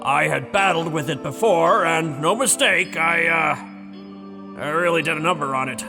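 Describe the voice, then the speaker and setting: An actor in an audio drama playing a gruff old dragon-slaying veteran, defensive and blustery, with a gravelly, rambling delivery. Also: male voice, fairly high pitch, measured pace. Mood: haunted, weary. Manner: blustery, rambling storytelling, stammers when challenged. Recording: reverberant hall with music behind.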